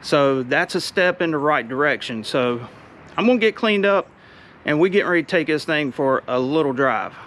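A man, chatting excitedly in a casual vlog about his race truck, outdoors and then driving in the cab. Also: fairly high pitch, normal speed. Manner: excited, chatty, drawling shop talk. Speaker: car builder vlogger. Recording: loud engine noise throughout.

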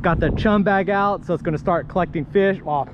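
Man, middle-aged, high-pitched, chatty fishing commentary, action camera outdoors, wind and water noise loud behind. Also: a little fast, lo-fi sound. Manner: chatty, exclamatory, talks to himself. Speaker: kayak fishing vlogger. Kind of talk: casual running commentary while fishing. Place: kayak on open water outdoors. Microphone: action camera mounted on kayak.

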